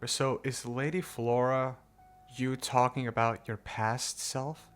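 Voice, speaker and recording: Casual streamer with a medium-pitched voice. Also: steady tone, slow pace. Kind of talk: casual gameplay commentary. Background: game music.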